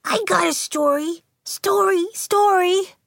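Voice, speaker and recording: A woman voicing a shy, sad, earnest schoolgirl in an audiobook, soft-spoken and halting as she repeats her words. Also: very high voice, gravelly, no room sound, studio quality, pitched above the performer's own voice, exaggerated voice. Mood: shy, hesitant.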